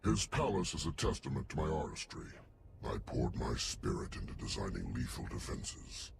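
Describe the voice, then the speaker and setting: Vain haughty scientist in a video game, really low crackly voice, bit of noise behind. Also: male voice, big pitch swings, normal speed, vocal fry, exaggerated voice. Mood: scornful boasting. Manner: pompous, haughty. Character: vain, pompous male scientist and weapons designer.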